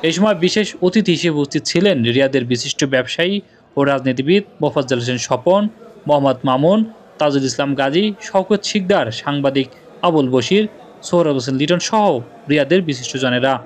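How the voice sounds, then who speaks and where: A man's voice: fairly high pitch, lively intonation, quick pace. A man with a Bangladeshi accent reading a scripted news report as a TV correspondent.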